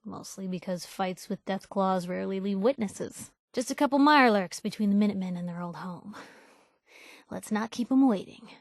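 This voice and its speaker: An actress voicing a plucky young woman reporter in a video game, wry, colloquial and expressive, with a conscience behind the wisecracks. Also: medium pitch, moderate pace, dry recording, studio quality, lightly acted. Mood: curious, bantering. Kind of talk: video game companion voice lines.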